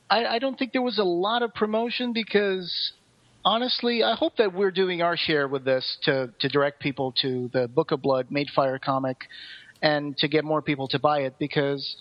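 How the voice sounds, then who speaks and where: A somewhat lo-fi, muffled recording of a man with a fairly high voice. He chats casually as a podcast co-host. He sounds enthusiastic and ready with an opinion. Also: steady tone, normal speed.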